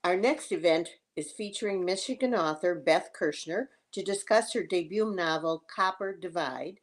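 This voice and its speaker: Slightly low-voiced woman event host. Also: moderate pace. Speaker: event host giving closing remarks. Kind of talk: prepared event announcement.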